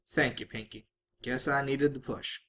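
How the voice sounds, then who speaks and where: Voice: medium pitch, steady tone, measured pace, sharp tone. A storybook reader voicing a stubborn, honest female farm pony with a folksy, reluctant way of talking. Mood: reluctant, resigned.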